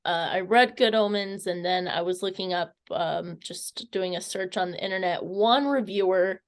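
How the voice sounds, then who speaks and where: A woman's voice: on the low side, moderate pace. A woman interviewing someone casually, tentative and rambling, stumbling over her words as she goes.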